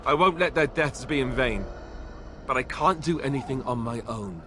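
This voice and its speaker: An actor playing an idealistic young warrior in a video game, earnest and expressive with a touch of wry scepticism. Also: male voice, mid-range voice, moderate pace, background noise, lightly acted. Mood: grim, determined. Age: young adult. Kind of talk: dramatic video game acting.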